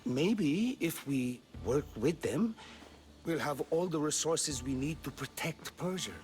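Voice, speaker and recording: A conflicted Persian warrior, earnest and persuasive. The voice is fairly high for a man and very varied in pitch, yet slowly paced, as in a dramatic video game. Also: animated, a little mellow, soft background music. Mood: earnest persuasion. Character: reasoning Persian male warrior, conflicted comrade turned traitor.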